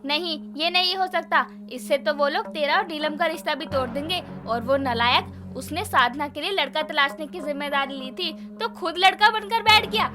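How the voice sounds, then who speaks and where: A woman voicing a sharp-tongued, suspicious middle-aged mother in a cartoon story, scolding and exasperated. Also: high-pitched, moderate pace, few pauses, over music, lightly acted. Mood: outraged. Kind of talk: Hindi cartoon story voice acting.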